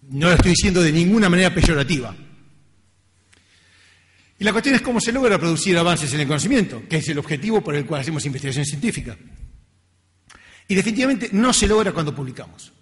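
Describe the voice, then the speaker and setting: A middle-aged man with a Latin American accent giving an informal academic talk in a conversational, self-deprecating way. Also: on the high side. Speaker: research scientist giving a lecture. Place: lecture hall. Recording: reverberant hall.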